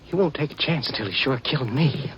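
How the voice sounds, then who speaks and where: A man's voice: mid-range voice, animated, quick pace, a bit bright, close to an everyday voice. An actor playing a frightened, pushy, whining man in an old-time radio western, with an American accent and an agitated, insistent delivery. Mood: pleading, impatient. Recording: faintly noisy vintage radio recording.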